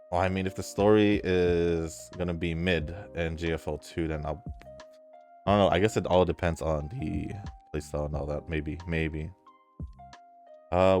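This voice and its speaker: A man's voice: low-pitched, a little mellow. A man talking casually as a gaming YouTuber, reading viewer comments aloud and reacting in a laid-back, hesitant way with trailing fillers. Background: faint background music.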